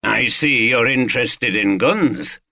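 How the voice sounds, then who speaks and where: A man voicing a calm, measured senior detective who speaks slowly and understatedly. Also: medium pitch, creaky, no echo, clean studio sound, exaggerated voice.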